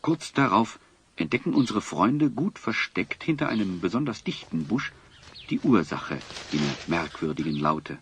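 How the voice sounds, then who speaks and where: A man's voice: lowish voice, very animated, normal speed, slight background noise. A man narrating a scripted fantasy adventure as a dramatic storyteller for an audio play. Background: music.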